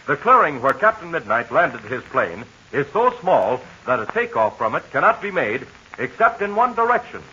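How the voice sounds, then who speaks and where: A man's voice: on the high side, bright, forceful tone, slight background noise. A man announcing a 1940s radio serial with a hearty promotional delivery, then narrating its scene setup. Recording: muffled 1940s radio transcription.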